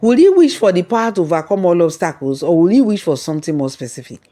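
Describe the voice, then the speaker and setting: A storyteller narrates a folktale from a script. The voice sits at a middle pitch and tells the story as a read narrative. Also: big pitch swings, quick pace, dark tone.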